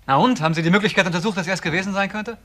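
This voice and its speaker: A man's voice: sits high, rapid-fire, a bit bright. A man playing an obsessive, insistent accuser in a German crime radio drama, brooding over every point. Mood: urgent, brooding.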